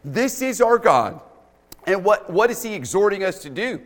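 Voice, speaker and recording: A man's voice: sits high, big pitch swings, moderate pace. A middle-aged man with a big, bold voice, preaching a sermon to a church congregation as a guest speaker in emphatic, repeated short phrases. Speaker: guest preacher, youth camp director. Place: indoors in a church, speaking into a microphone. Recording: reverberant church hall, long reverb.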